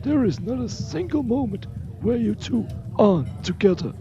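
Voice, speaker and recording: Man acting a jolly old godfather, swooping pitch. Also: animated, moderate pace, lo-fi sound. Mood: gently chiding. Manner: affectionate, teasing, fussing, theatrical. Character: kindly, jolly old godfather doting on a child. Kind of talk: scripted audio drama acting. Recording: music under the voice. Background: music.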